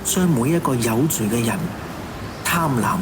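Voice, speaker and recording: An actor with a Hong Kong Cantonese accent playing a fanatical, cynical killer who styles himself an executioner, in theatrical, taunting radio drama monologue. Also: male voice, mid-range voice, expressive, unhurried, background noise, lightly acted. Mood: cynical, preaching.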